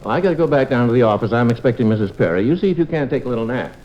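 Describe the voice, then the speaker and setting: A man's voice: mid-range voice, animated, very fast, lightly acted. A man playing a kindly, easygoing small-town doctor and father in an old radio comedy-drama, on a muffled recording. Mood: gentle concern. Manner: gentle, fatherly, conversational.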